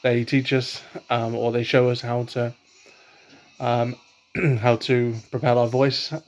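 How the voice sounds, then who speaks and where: Gravelly, hesitant man talking flatly. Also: mid-range voice, slow pace. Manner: hesitant, many ums and restarts. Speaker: vlogger. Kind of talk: casual unscripted vlog. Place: at home, indoors. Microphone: laptop webcam.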